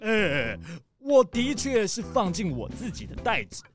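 A woman's voice: medium pitch, sing-song, a little slow, faint music behind. A woman playing an indignant, defensive weasel shopper in a children's audio drama.

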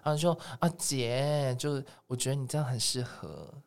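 A man's voice: mid-range voice, expressive, moderate pace, gravelly, a little mellow, smooth. A Taiwanese man talks casually as a podcast guest who produces music. His voice sits in the middle range, and he explains things conversationally, slipping into quoted dialogue as he goes.

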